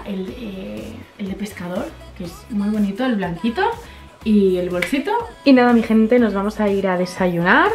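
A young woman in her twenties from Spain, chatting casually to her handheld camera in a vlog, upbeat and full of fillers, a little stuffy from allergies. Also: medium pitch. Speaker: vlogger. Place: hotel room and outdoors in a city. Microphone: handheld camera at arm's length.

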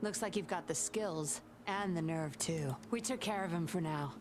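A woman's voice: on the low side, normal speed, noisy background, natural voice. Yinlin, a woman playing a teasing sly spy. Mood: confident. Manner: playful, teasing, smooth. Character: teasing sly young female undercover agent. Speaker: game character. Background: game music.

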